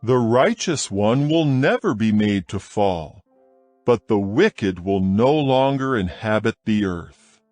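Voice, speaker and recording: Slow, solemn male scripture reader. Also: on the low side, lively intonation, a little mellow, no room sound, soft background music. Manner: slow, measured, solemn delivery.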